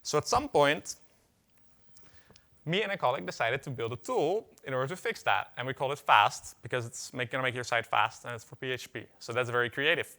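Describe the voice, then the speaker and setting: Bright-voiced man giving a tech talk. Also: mid-range voice, a little fast. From Netherlands. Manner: casual, filler-heavy, wry asides. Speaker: conference speaker. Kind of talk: technical conference talk. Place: conference hall.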